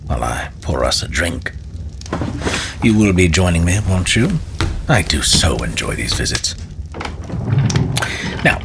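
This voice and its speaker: A man's voice: low-pitched, gravelly, noisy background. A man narrating a fantasy tale as a storyteller, with dramatic, theatrical and courtly phrasing.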